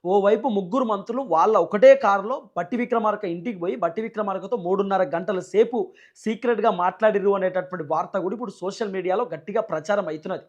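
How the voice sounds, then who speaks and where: A man with a high voice and a Telangana Telugu accent delivers political commentary to viewers at a quick clip. His manner is emphatic and repetitive, built on rhetorical questions. The sound is a little dull and lo-fi. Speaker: news channel host and political commentator.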